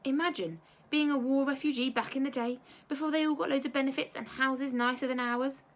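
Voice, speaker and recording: A woman's voice: sits high, fairly even intonation, fairly quick, slight background noise, voice pushed up, natural voice. Woman putting on a snide, scornful storybook aunt. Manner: sneering, falsely sweet.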